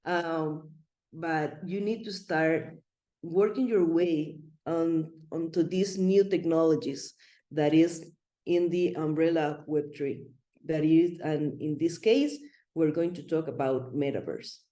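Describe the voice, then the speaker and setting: A woman's voice: low voice, slow pace, mellow, reverberant. A middle-aged woman from Rica, giving an online presentation in a friendly, rambling way with many fillers and restarts. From Costa Rica. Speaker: web3 consultant presenter.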